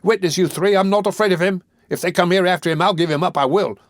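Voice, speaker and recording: A man doing a shrill, frantic young boy. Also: brisk, no echo, clean studio sound, close to an everyday voice. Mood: defiant. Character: terrified defiant boy, screaming for help. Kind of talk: audiobook character voice.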